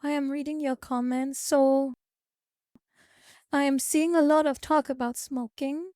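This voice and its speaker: A woman's voice: high-pitched, fairly even intonation, unhurried, a little mellow, dry recording, studio quality. A woman streaming live, chatting casually with viewers, reading their comments and lecturing them. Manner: chatty, lecturing, reads chat aloud. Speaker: VTuber streamer.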